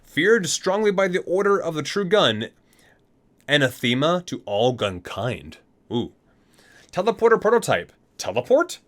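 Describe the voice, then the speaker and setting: A man's voice: on the high side, sing-song, a bit bright. A man doing an ASMR read-aloud, mixing scripted lines with casual asides and often stumbling and correcting himself. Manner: halting, often repeats and corrects himself while reading. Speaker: video host reading aloud from a game book.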